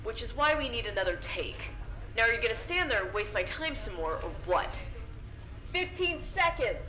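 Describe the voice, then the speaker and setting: A woman's voice: on the high side, sing-song, moderate pace, reverberant, over music, poor audio. A woman playing a domineering shoot director in an audio drama, curt, contemptuous and barking orders. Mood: impatient, commanding.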